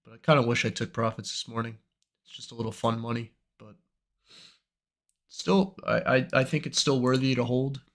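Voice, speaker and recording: A young man with a cold, slightly hoarse, chatting in a relaxed, rambling way as host of a trading livestream. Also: medium pitch, moderate pace. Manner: relaxed, rambling, many fillers. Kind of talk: casual livestream chat.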